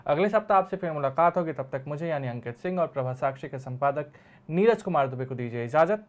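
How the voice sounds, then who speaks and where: A man's voice: a little high, lively intonation, fast. A man hosting a political discussion programme as its presenter. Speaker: talk show host.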